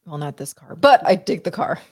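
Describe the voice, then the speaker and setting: A woman's voice: on the low side, sing-song, a little fast. A woman co-hosting a podcast, chatting casually about a movie with wry, playful interjections. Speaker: podcast co-host. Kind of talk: casual watch-party banter.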